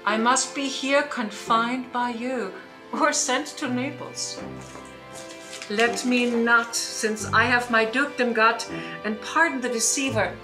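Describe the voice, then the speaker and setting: A woman playing a commanding, grave, aging sorceress in a Shakespearean radio play, speaking measured, declamatory verse. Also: fairly high pitch. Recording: roomy online recording.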